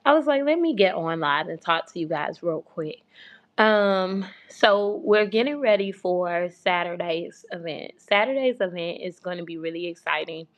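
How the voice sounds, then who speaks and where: A woman hosts a phone livestream from her home abroad, talking in a soft, childlike baby voice. Her chat is loose and rambling, circling back over the same points with easy warmth toward her viewers. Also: on the low side, lively intonation, moderate pace, muffled recording. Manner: chatty and rambling, repeats herself, speaks with faith. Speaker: host of a relocation livestream. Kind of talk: casual livestream talk to viewers.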